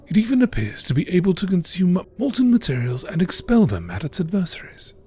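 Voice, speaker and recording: A man narrating scripted nature documentary entries in a measured, formal, deliberate way, with a husky, breathy voice. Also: fairly high pitch, a little mellow, slight background noise. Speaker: narrator reading game lore entries.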